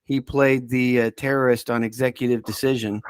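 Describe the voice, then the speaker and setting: A man's voice: mid-range voice, flat delivery, a little fast, clear, resonant tone, no room sound. A man talking casually as a podcast expert, often hesitating and restarting his thoughts as he rambles. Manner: hesitant, rambling, self-interrupting. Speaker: podcast subject-matter expert. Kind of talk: casual chat.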